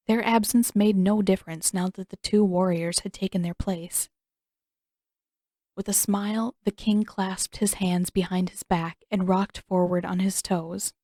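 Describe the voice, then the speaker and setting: A woman narrating her own fan fiction as an audiobook, in even, steady storytelling. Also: medium pitch, no echo, clean studio sound.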